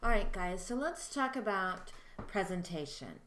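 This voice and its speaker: A soft-spoken woman giving a friendly, chatty lesson to camera as a teacher of mediumship. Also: mid-range voice, lively intonation, measured pace, roomy sound, slight background noise. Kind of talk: casual instructional talk to camera.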